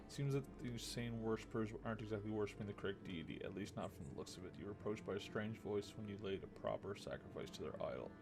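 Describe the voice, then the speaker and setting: Low, creaky-voiced man muttering through a game. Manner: low-key, muttering to himself while thinking aloud. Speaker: game streamer. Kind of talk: casual let's-play commentary. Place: small room at home, indoors. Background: game music.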